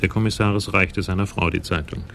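A man narrating a German radio crime drama from a script in a measured, wry, descriptive way. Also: low voice, fairly even intonation, a bit bright, slight background noise. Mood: matter-of-fact.

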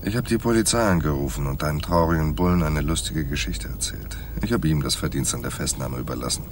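A man's voice: very deep voice, fast. An actor playing a wry, hard-boiled private detective in a German radio play, dry and laconic in his delivery. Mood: sardonic. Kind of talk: German radio play acting, noir narration. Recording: noisy 1980s radio play recording.

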